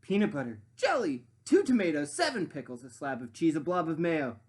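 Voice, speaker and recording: Very animated man reading to children. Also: a little high, husky. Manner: animated, pausing to muse and prompt. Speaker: read-aloud storyteller and teacher. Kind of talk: expressive children's story narration with questions to listeners.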